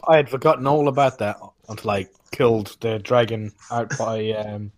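A man's voice: lowish voice, steady tone, normal speed, no echo. A man chatting casually as a panelist on a gaming roundtable podcast.

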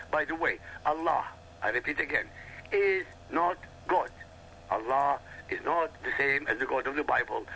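Arabic-native man from Iraq, radio guest, forceful lecturing delivery, bright tense tone, lively pitch. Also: slight background noise, low-quality recording. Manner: forceful, emphatic, repetitive lecturing. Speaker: guest commentator. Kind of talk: heated radio talk.

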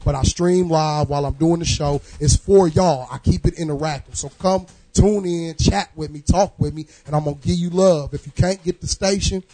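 A hoarse man, a radio host, giving loose, profane shout-outs and plugging his show to friends. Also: a little high, normal speed, few pauses, dry recording. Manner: boisterous, profane, rhyming bits. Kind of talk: loose hype shout-out talk.

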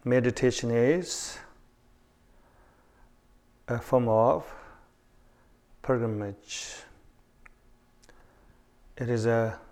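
A man leading a guided meditation calmly and without hurry, in short phrases with long pauses. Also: mid-range voice.

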